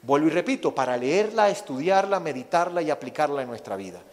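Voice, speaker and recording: A Venezuelan man with a Venezuelan Spanish accent, a missionary preaching and praying fervently, slowing to stress his words. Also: a little high, lively intonation. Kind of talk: sermon with opening prayer. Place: church sanctuary. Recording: long reverb of a church hall.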